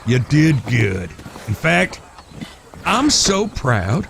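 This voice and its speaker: An actor playing a wry, gruffly affectionate older brother in an Old West audio drama, teasing in a drawl. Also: male voice, mid-range voice, very animated, extremely slow, noisy background, exaggerated voice. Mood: teasing pride. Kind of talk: Western audio drama acting.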